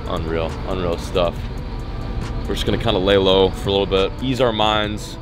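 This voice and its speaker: A young man hosting a fishing vlog outdoors on a boat, talking casually with lots of slang and excitement. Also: low voice, moderate pace, over music. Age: young adult. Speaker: fishing vlogger.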